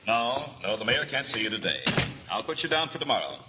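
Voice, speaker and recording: Flustered mayor's aide played by a man, lively. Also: mid-range voice, fairly quick, over loud music, close to an everyday voice, poor audio. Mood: busy, apologetic. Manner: hesitant, fussy, deferential. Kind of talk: old-time radio drama acting. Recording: hissy old radio recording.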